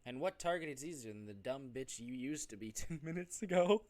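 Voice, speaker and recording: A man's voice: medium pitch, animated, a little fast, a little mellow. A man streaming a game, reading its narration aloud expressively, with occasional stumbles and self-corrections. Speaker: gaming streamer doing a playthrough. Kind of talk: reading visual novel narration aloud with off-the-cuff reactions.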